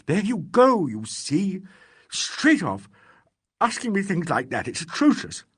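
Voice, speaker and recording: A man reading an audiobook voices a pompous, hysterical disgraced financier who rants in a shrill, trembling voice. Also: big pitch swings, a little slow, a bit bright, breathy edge, no echo, clean studio sound, full character voice. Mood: ranting. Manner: shrill, indignant, trembling, ranting.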